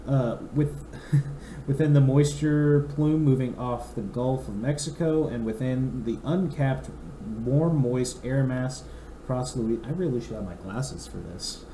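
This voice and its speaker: A young man co-hosting a podcast, his voice a little raspy and mellow. He chats loosely and reads a forecast aloud, stopping for asides. The room is echoey, with faint noise underneath. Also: mid-range voice, measured pace. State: raspy throat. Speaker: podcast co-host. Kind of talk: casual chat.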